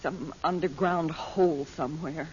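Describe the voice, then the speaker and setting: A woman's voice: low voice, fairly even intonation, normal speed, natural voice. An actress playing an anxious, plaintive mother in an old-time radio drama. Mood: anguished, plaintive. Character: anxious, grieving mother longing for lost man. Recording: muffled, hissy old radio recording.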